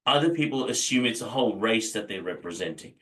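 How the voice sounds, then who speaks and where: An Indian-born man speaking as an interview guest, measured and thoughtful, with frequent fillers. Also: lowish voice, expressive, fairly bright tone, distant mic.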